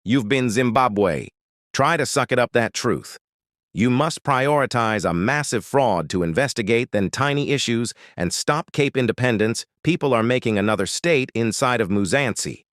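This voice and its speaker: A man's voice: medium pitch, normal speed, fairly bright tone, no echo, clean studio sound. A man reading news commentary in a confrontational way, firing off rhetorical questions. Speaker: news presenter.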